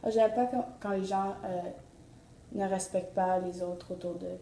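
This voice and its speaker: A woman's voice: mid-range voice, steady tone, normal speed, mellow, reverberant, a little hiss or hum. A woman introducing herself hesitantly, with many fillers. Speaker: interviewee. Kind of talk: casual self-introduction.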